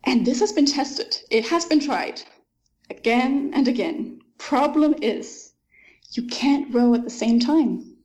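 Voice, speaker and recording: A woman's voice: high-pitched, animated, slow pace, a little mellow, rough recording. A young woman, an author answering interview questions thoughtfully and enthusiastically, with frequent ums.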